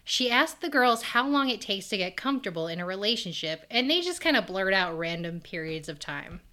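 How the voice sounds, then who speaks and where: A woman's voice: medium pitch, very animated, fairly quick, sharp tone. A woman co-hosting a podcast, recapping a TV episode in casual chat, laughing often and swearing freely. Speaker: podcast co-host.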